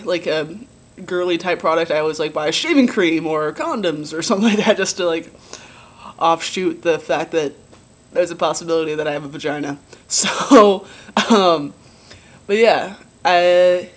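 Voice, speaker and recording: A young man with a relatively low voice giving a casual video diary update, rambling with many ums and likes. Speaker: vlogger.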